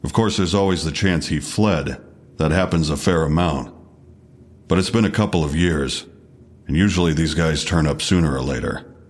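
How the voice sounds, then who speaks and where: An actor playing an unflappable police detective in an audio drama, questioning in a measured, official manner. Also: male voice, rumbling low. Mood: grave, insinuating.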